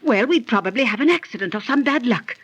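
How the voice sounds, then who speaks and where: A fussy, superstitious wife in a 1930s radio play. Her pitch moves up and down a lot as she scolds and insists, on an old recording. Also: animated, fast, husky. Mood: prim, insistent. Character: nervous, bossy, superstitious American wife.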